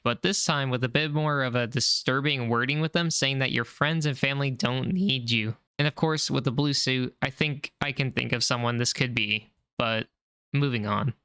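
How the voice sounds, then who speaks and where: A man calmly narrating a scripted recap of a horror video series in a measured, explanatory way. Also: mid-range voice, clean tone, no echo.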